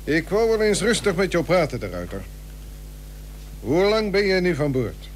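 Grave merchant statesman, fairly high voice, persuasive, formal archaic diction, radio drama, old humming recording. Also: sing-song, normal speed, slight background noise. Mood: cordial. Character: Lampsens, grave 17th-century merchant shipowner and statesman, persuasive. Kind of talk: Dutch historical radio play acting.

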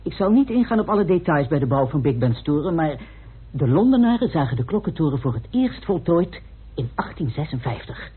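An actor playing a methodical researcher explaining evidence precisely in a Dutch radio play. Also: male voice, fairly high pitch, very animated, brisk, breathy edge, exaggerated voice. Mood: methodical, earnest. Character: Peacock, methodical, meticulous male researcher laying out evidence. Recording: muffled, band-limited old radio recording.

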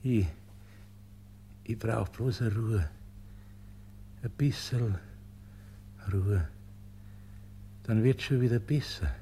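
An actor playing a dying old monk in a radio play, humble and resigned, speaking in a halting, weary way. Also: male voice, on the low side, dark tone, a little hiss or hum. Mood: weak, resigned. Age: older. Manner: halting, weary, frail delivery.

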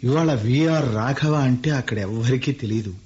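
A man's voice: mid-range voice, moderate pace, darkish tone, natural voice. Brief: an actor as a playful, commanding middle-aged businessman, old lo-fi radio play. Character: wistful middle-aged male industrialist. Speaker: lead character. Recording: muffled radio play with faint music.

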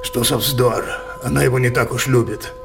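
Actor as fierce old tyrant king, gravelly voice. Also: mid-range voice, fairly even intonation, moderate pace, lightly acted. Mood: suspicious. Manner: declamatory, imperious, passionate. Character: power-hungry, wrathful, wilful old tyrant king. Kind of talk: theatrical verse radio drama acting. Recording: music behind the voice.

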